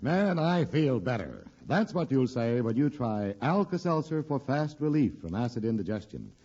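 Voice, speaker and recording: A man announcing a scripted vintage radio commercial in an advertising pitch, on an old recording. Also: very animated, moderate pace, dark tone, muffled recording, faint music behind.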